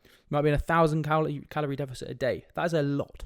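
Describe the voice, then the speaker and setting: A man's voice: fairly high pitch, fairly even intonation, quick pace, dry recording. Sniffly young man, dry close sound. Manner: direct, casual, swears, frequent "right?" asides. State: sniffly with a cold. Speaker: fitness coach podcast host. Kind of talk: casual solo podcast talk.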